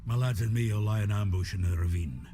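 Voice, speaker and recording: An actor playing a gruff, jovial gang leader in a video game, with a folksy, rough-edged way of talking. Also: male voice, fairly low pitch, fast, natural voice. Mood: brisk, plotting. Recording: music behind the voice.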